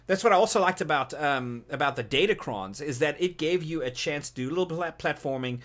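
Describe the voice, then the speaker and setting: A man with a fairly high-pitched voice talks casually as a co-host on a gaming discussion show. His delivery is halting, with phrases repeated and restarted as he works toward his point. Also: animated, a bit bright. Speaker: podcast co-host.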